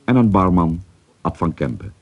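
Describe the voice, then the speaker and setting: A man's voice: low voice, normal speed, narrow, tinny audio. A man formally reading the scripted closing credits of a radio drama as its announcer.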